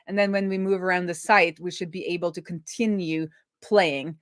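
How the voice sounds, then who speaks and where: Woman, lowish pitch, casual live coding commentary, informal, thinking aloud, dry close sound. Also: fairly even intonation, normal speed, no room sound. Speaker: livestream host, web developer.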